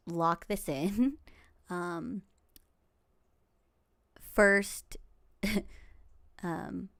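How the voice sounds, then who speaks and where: A woman in her forties with a lowish, gravelly voice talks unhurriedly over a game she is playing. She rambles in a chatty way, with fillers, soft laughs and murmured asides to herself. She is from Los Angeles, and her first language is Tagalog. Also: dry recording. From Los Angeles, United States. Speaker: game streamer. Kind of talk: casual gameplay commentary.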